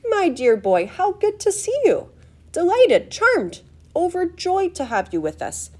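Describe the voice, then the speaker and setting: A woman voicing an excitable, eccentric old male factory owner in a storybook, high and fluty, with effusive, gushing exclamations. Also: normal speed. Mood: gushing delight.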